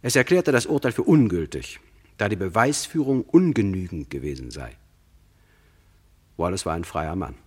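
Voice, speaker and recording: A deep-voiced male narrator tells a true crime radio play. His delivery is sober and measured, explaining things directly to the listener. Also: expressive, darkish tone, husky.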